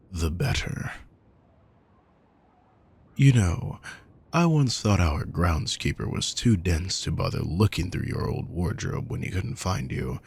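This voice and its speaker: A man performs an aristocratic male villain in an intimate roleplay audio. The voice sits very deep, with a heavy creak and a raspy, breathy edge. He is possessive and quietly menacing, addressing the listener with condescending, darkly sardonic courtesy. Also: exaggerated voice. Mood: controlling, composed, then mocking, amused.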